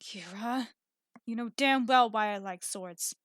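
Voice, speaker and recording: A woman voicing a gruff, suspicious young catgirl swordswoman in an audiobook. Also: a little high, measured pace, fairly bright tone, dry recording. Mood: irritated. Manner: curt, suspicious. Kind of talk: audiobook character acting.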